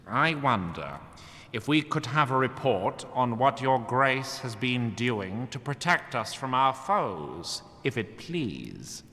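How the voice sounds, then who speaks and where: In a long, reverberant hall, a scheming fantasy lord treasurer. His delivery is slow and lively in pitch, with a grave, formal and boldly accusing courtly manner. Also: exaggerated voice. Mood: needling politeness. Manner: formal, measured courtly speech. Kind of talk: fantasy audio drama acting.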